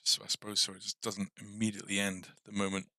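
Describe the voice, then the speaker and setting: A man's voice: on the low side, completely flat delivery, fast, sharp tone, no echo. A man casually thinking aloud as he hosts a game coding tutorial livestream. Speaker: game development tutorial host.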